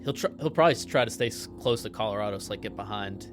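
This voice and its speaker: A man's voice: lowish voice, lively intonation, rapid-fire. A man playing a tabletop game, casually and tentatively describing what his character does. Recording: music playing behind the voice.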